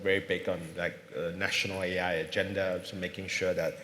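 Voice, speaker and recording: A man's voice: sits low, little pitch movement, a little fast, a bit bright, roomy sound, in a large hall. A man from Singapore speaking as a panelist at a conference, thinking aloud with many fillers and restarts. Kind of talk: panel discussion. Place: large conference hall.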